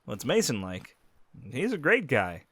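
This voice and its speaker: A man playing a gentle young werewolf, lively voice. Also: medium pitch, unhurried, no room sound, full character voice. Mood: friendly. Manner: gentle, reassuring, speaks directly to the listener. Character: young male werewolf, friendly and caring pack beta. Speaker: audio roleplay character. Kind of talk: scripted audio roleplay addressing the listener.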